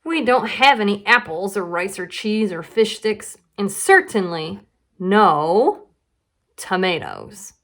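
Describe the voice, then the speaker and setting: A woman's voice: mid-range voice, big pitch swings, slow pace. A woman voicing a clever, patient young boy, an older brother in a storybook, explaining persuasively with emphasis. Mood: sly, reassuring.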